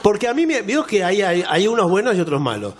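A man's voice: high voice, very animated, fast talker, a faint crowd. A man co-hosting a comedy radio show, playing a fussy expert in mock-formal tones. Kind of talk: comedic improvised banter.

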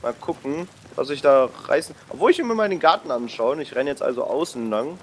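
A man's voice: mid-range voice, animated, fairly quick, a little hiss or hum. A young man casually commentating a game with a friend, using slang and English exclamations. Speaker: gaming video commentator. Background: shooter game gunfire and ambience.

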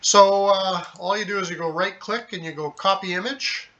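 A middle-aged man giving a casual, unscripted how-to explanation, halting and thinking aloud as he goes. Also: high voice, fairly even intonation, sharp tone, highs cut off. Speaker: hobbyist host of a DIY tutorial. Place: small indoor home workshop at a desk, later at a computer.

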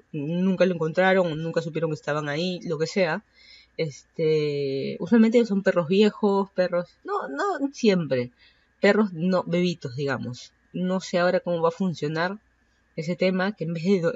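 A woman's voice: lowish voice, darkish tone, no echo. Peruvian woman in her 30s rambling on her podcast, lots of "este", dry slightly muffled mic. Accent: Peruvian Spanish. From Peru. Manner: rambling, self-correcting, full of "este" fillers. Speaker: podcast host. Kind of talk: casual solo podcast monologue.

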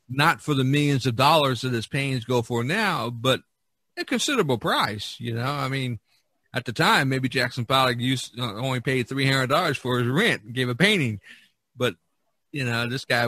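A man's voice: mid-range voice, bright, forceful tone, no room sound. A man chatting casually on a podcast, explaining things in a rambling way with many "you knows". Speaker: artist on a podcast.

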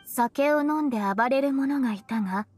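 A woman voicing a stoic, aloof young female disciple in a video game, deadpan and formal. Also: high voice, normal speed, soft background music, natural voice. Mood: flat, earnest. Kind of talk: Japanese video game voice acting.

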